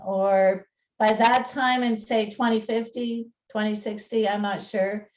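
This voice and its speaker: Recorded a little away from the microphone, a woman gives an informal presentation. Her voice is fairly high and she speaks slowly. She comes across as chatty and self-deprecating. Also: darkish tone, far from the mic, rough recording. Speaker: museum presenter and radio play narrator. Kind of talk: informal talk with slides and dramatic reading.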